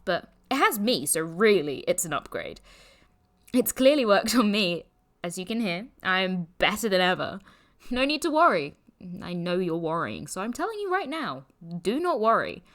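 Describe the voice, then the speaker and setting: A woman's voice: mid-range voice, sing-song, unhurried, fairly bright tone. A woman acting in an audio drama as a playful, teasing, breezy young woman chatting to friends. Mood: teasing, affectionate. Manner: bubbly, teasing. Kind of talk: audio drama, podcast-style voice message.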